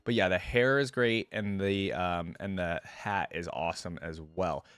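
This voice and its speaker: A man's voice: sits low, unhurried, fairly bright tone, clear, resonant tone, no echo. A man giving casual, informal reaction commentary, enthusiastic and thinking aloud as he goes. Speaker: reaction video host.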